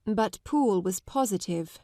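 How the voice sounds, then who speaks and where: A woman giving a measured, articulate narration of a literary audiobook. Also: mid-range voice, expressive, dark tone, no room sound, clean studio sound.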